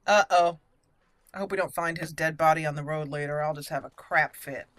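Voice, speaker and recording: A woman's voice: sits low, steady tone, a little fast, fairly bright tone, dry recording. A woman giving chatty roleplay game commentary, thinking aloud and slipping into formal in-character lines. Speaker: gaming channel host and player. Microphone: close, dry microphone.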